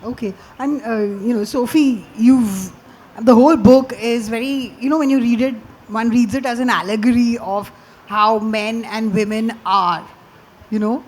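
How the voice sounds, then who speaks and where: A woman's voice: on the high side, a little slow, a little mellow. A woman from India moderating a literary panel, hesitant and full of fillers as she frames her questions. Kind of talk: panel discussion.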